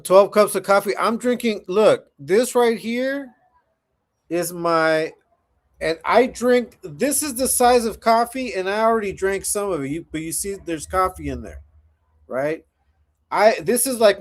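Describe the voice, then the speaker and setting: High-voiced laid-back man in his forties. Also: expressive, measured pace. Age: 40s. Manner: laid-back, greets chat viewers, repeats phrases. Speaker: livestream host. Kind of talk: casual livestream chat.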